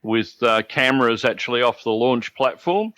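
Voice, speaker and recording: A man's voice: mid-range voice, normal speed, a bit bright, no echo. A man from South Africa with an Australian accent, chatting on a podcast in a dry, joking way. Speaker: podcast guest. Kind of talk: casual chat.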